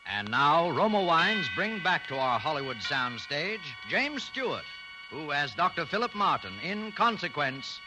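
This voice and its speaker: Old radio announcer guy, dramatic, high voice, big swings, music under him. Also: big pitch swings, unhurried, low-quality recording. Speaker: program announcer. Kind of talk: dramatic 1940s radio introduction.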